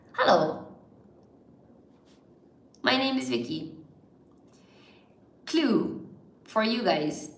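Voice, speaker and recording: A chatty Irish woman teacher runs a live word game for her viewers, cheering them on and laughing often. She talks slowly in a fairly high voice. The sound bounces around an echoey room. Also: fairly bright tone. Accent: mild Irish, largely neutral. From Ireland. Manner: chatty and encouraging, laughs often, reads viewer comments aloud. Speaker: English teacher hosting a livestream.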